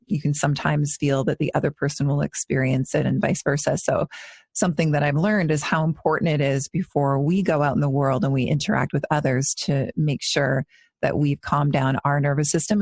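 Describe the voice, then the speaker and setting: A woman's voice: sits low, creaky, darkish tone, dry recording, studio quality. A woman in her forties, a yoga teacher co-hosting a podcast, talking in an earnest, reflective way with frequent restarts. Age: 40s. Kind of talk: casual podcast conversation.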